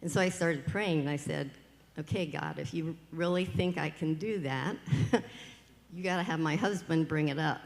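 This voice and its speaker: A woman's voice: deep voice, normal speed, gravelly, slight background noise. Church panelist, woman, earnest and self-deprecating, echoing hall. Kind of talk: panel discussion. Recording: echoey hall.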